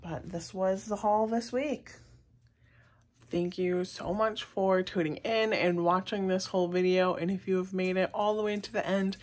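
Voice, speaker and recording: A woman's voice: medium pitch, steady tone, normal speed, darkish tone. A young American woman narrating a casual vlog in a chatty, rambling way. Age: young adult. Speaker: vlogger. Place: indoors at home, kitchen table. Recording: clear background noise, handheld home recording.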